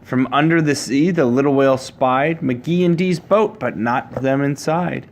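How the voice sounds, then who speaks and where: A man reading a children's picture book aloud to his child, with a measured, rhyming storybook delivery. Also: mid-range voice.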